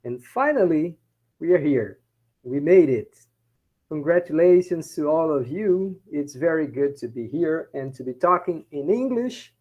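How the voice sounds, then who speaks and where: A Brazilian professor with a Brazilian Portuguese accent, teaching a class in English, often checking in with "okay?" and repeating points in Portuguese. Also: male voice, a little high, animated, unhurried, dull audio. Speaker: university professor. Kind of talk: bilingual class lecture.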